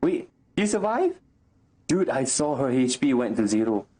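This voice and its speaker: A man's voice: mid-range voice, very animated, moderate pace, mellow, husky. Casual man reacting to a game. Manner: informal, swearing. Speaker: game streamer. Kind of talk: casual gameplay reaction commentary. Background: game music.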